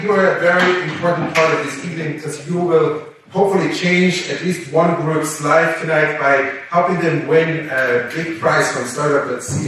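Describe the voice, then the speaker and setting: A man's voice: on the high side, fairly bright tone. A man hosting a live event, opening the evening with an upbeat, chatty introduction full of fillers. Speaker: event host. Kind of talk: stage introduction to a live audience. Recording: echoey hall from far off, faint noise.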